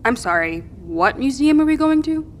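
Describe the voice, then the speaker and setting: A no-nonsense peacemaker, bit high voice, firm n direct, audio drama. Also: female voice, big pitch swings, moderate pace, a little hiss or hum. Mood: placating.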